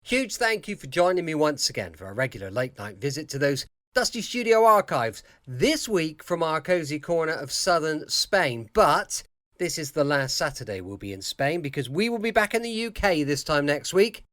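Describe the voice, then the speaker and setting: A man's voice: a little high, big pitch swings, normal speed, fairly bright tone, dry recording, clean studio sound. A British man hosting a podcast from a bedroom, giving a chatty, informal introduction. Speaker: podcast host. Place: small bedroom, indoors.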